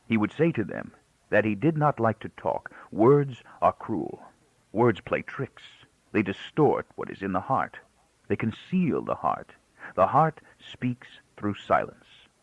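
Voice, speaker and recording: Male narrator as grieving elderly rabbi: grave, halting, creaky, widely swelling and falling delivery. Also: on the low side, very animated, no room sound, lo-fi sound, full character voice. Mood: pained, earnest. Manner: quiet, solemn, halting speech with emphatic repetition.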